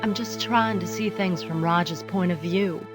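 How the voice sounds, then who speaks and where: An actress playing an emotional, guilt-ridden wife in an audio soap opera. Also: female voice, fairly low pitch, moderate pace, music in the background, natural voice. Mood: guilty, reproachful.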